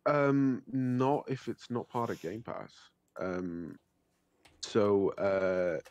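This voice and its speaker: A man's voice: fairly low pitch, steady tone, very slow, darkish tone, no room sound, lo-fi sound. A man on a gaming podcast, hesitant, with many ums and restarts. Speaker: podcast guest. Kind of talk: casual chat.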